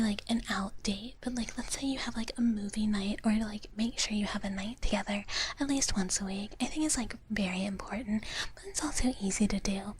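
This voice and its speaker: A woman ASMR streamer chatting casually in a soft, breathy whisper, close to the microphone, in a playful, rambling, intimate way. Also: mid-range voice, a little hiss or hum. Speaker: ASMR livestreamer. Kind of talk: ASMR, casual chat with viewers.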